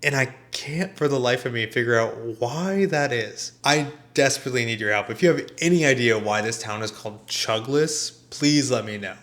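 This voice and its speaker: Man, middle-pitched voice, scripted comedic review narration, sarcastic reviewer. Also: normal speed, hardly any pauses, a bit bright. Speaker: video essayist and film reviewer.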